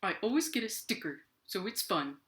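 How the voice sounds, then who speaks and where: A woman's voice: mid-range voice, sing-song, a little fast, fairly bright tone. A woman voicing a friendly, chatty narwhal puppet in a children's puppet show, proud of its horn.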